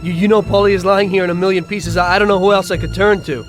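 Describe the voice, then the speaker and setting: An actor playing an earnest, betrayed mobster on the run in a video game cutscene. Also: male voice, high voice, fairly even intonation, fast talker, natural voice. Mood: anxious, shaken. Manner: earnest, dramatic delivery. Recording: loud music over the voice. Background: prominent background music.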